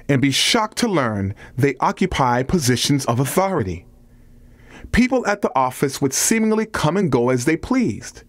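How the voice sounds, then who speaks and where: A man reads scripted nonfiction as an audiobook narrator, with measured, deliberate phrasing. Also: mid-range voice, no room sound.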